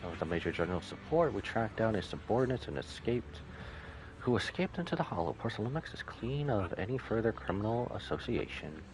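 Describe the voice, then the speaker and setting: A man's voice: lowish voice, sing-song, a little fast, background noise. A man voicing a shrewd, polite corporate trader from a game, formal and businesslike.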